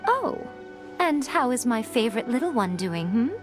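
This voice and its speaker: Teasing, elegant high-voiced fox lady. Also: big pitch swings. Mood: playful. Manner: playful, teasing, unhurried, silky. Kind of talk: video game voice acting. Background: music.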